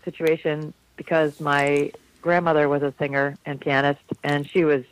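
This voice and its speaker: Husky-voiced man telling stories. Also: completely flat delivery, moderate pace, low-quality recording. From South Florida, USA. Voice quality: low, slightly husky voice. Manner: rambling, warm anecdotes with frequent restarts and laughs. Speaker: jazz singer, guest. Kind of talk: casual interview storytelling.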